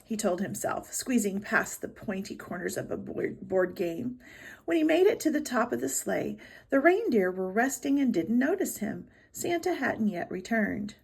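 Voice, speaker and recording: Husky, creaky-voiced woman reading to children. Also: a little high, sing-song, moderate pace. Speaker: storybook reader. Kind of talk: expressive read-aloud narration for children.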